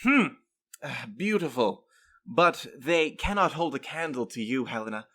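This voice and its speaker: A lovesick suitor in a comedic audio drama. He gushes floridly, with a forceful bright tone and wildly animated pitch. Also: a little slow, full character voice. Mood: besotted. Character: gushing, overblown lovesick suitor with dessert endearments.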